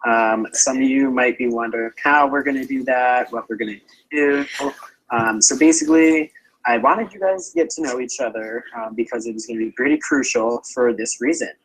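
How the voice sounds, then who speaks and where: A man hosting an online game, explaining the rules in a relaxed way with lots of fillers. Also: mid-range voice, normal speed, rough recording. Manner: chatty, filler-heavy. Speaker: game host.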